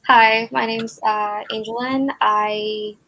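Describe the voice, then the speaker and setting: A woman's voice: on the high side, level tone, takes it slowly, pushed and bright, poor audio. A young woman from Sri Lanka giving a slightly nervous casual introduction. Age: young adult. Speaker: student guest.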